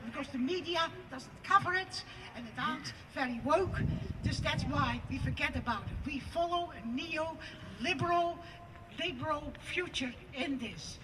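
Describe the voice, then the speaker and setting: A woman with a Dutch accent giving an impassioned, declamatory protest speech outdoors at a rally, building to loud emphatic peaks. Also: high voice, expressive, normal speed. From Netherlands. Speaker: protest speaker. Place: outdoor rally on parliament steps. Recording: distant mic, loud outdoor noise.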